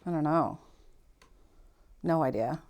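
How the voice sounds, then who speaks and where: A woman's voice: sits low, slow pace, darkish tone. A woman with a mild Boston accent, a guest on a podcast, answering casually, agreeing readily and laughing often. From Massachusetts. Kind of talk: casual interview chat.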